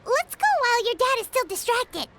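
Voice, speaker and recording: A woman voicing a small, chirpy, childlike fairy companion in a video game, squeaky and speaking of herself in the third person. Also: animated, a little fast, pushed and bright, a little hiss or hum, exaggerated voice. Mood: cheerful, reassuring.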